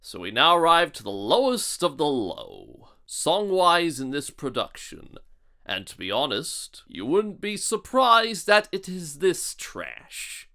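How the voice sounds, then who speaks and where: This is a sarcastic reviewer delivering scripted commentary. The voice is bright and forward, with very lively pitch movement. The pace is on the slow side, mocking throughout. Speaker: video reviewer and narrator.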